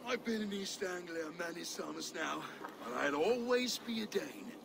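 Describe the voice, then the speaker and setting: An actor playing a boastful, scornful Danish Viking steward, gravelly and theatrical in his taunts, in a video game cutscene. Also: sits high, expressive, normal speed. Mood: grudging, menacing. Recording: game ambience, clear background noise.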